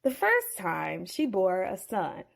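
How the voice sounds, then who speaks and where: A woman's voice: mid-range voice, huge pitch swings, slow pace, gravelly. Playful woman retelling a bible story. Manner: playful, chatty asides.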